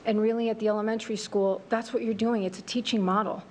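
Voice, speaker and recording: A woman's voice: medium pitch, steady tone, quick pace, slight background noise. A woman whose first language is Greek, answering a school board committee in a meeting room with earnest, thoughtful speech full of fillers. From Greece. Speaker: assistant principal candidate.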